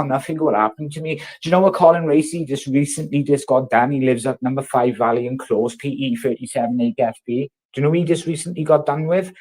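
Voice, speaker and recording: A man in his thirties from South Wales, speaking, vents at his neighbours in a furious, foul-mouthed rant. His voice sits slightly high for a man and keeps pushing louder as he hammers each point. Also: poor audio. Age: 30s. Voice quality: swears heavily, loud and confrontational. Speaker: vlogger. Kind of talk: angry rant to camera.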